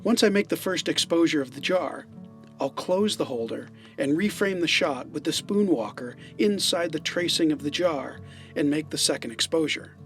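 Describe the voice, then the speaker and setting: A man's voice: fairly high pitch, expressive, normal speed, faint music behind. A man giving an explainer narration as a filmmaker and presenter.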